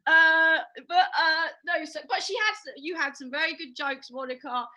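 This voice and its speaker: A woman's voice: very high-pitched, moderate pace, pushed and bright. A woman judging a comedy battle, rambling and giggling in cheeky chat. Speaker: judge. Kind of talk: casual chat.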